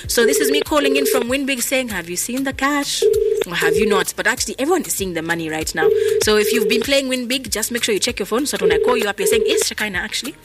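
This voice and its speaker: A woman's voice: fairly high pitch, expressive, fast talker. A woman with a Zambian accent hosting a live radio promotion with prize phone calls, chatty and moving between announcing and casual talk. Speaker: radio host. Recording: music behind the voice. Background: music.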